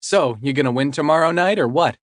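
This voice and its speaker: A man's voice: fairly high pitch, fairly quick, no room sound, clean studio sound, voice pushed up, lightly acted. A man voicing a teasing, worried girlfriend. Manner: teasing, then sharp and questioning. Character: loving young girlfriend, affectionate then upset.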